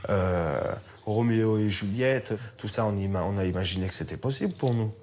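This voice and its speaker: A man's voice: on the low side, a little fast, a little mellow, a little hiss or hum. A man from France answering interview questions thoughtfully, hesitating and restarting often.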